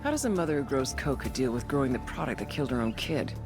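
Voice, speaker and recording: A woman's voice: extremely low pitch, very animated, fast talker, heavily acted. An actress playing a cynical, wisecracking female agent, narrating in a dry, sardonic and crude way like a briefing. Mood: wry, grave. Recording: voice over music.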